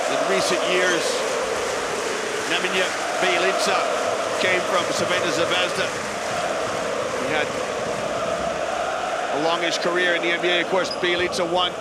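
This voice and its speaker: A man giving English play-by-play commentary on a basketball game, enthusiastic and building energy on big plays. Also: fairly high pitch. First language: not Serbian. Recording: commentary over loud arena noise.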